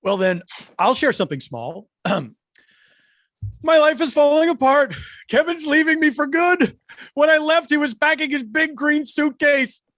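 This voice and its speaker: Man as a high-voiced, self-pitying cartoon cat. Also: lively intonation, unhurried, a bit bright, no echo, studio quality, full character voice. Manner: melodramatic, self-deprecating. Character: insecure, self-pitying male pet cat. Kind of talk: cartoon table read.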